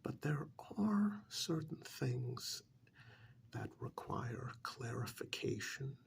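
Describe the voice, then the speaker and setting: A fussy, courteous official, acted out by a man, speaks in a soft ASMR whisper. His delivery is extremely slow and deliberate, with heavy creak roughening the edges. He sounds formal and methodical, pausing hesitantly as he goes. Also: mid-range voice, animated. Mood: officious, apologetic. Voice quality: soft, breathy whisper with gravelly edge. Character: polite, fussy, methodical male tax official auditing non-human clients. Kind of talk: soft-spoken ASMR role-play.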